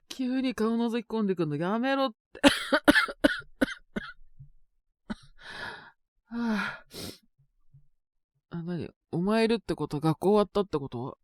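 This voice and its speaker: Man voicing a sick teenage boy, stubborn and shy, congested, slow halting mumble, close dry sound, audio drama. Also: very high-pitched, fairly bright tone, no echo, heavily acted. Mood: startled, grumbling. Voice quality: congested cold-sick voice with coughs. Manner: halting, mumbly, casual boyish speech. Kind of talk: Japanese situation voice drama, intimate casual acting.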